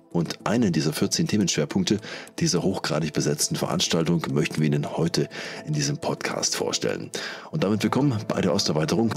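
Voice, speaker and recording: A man's voice: very deep voice, creaky. A man hosting a podcast, presenting scripted introductions and interview questions. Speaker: podcast host.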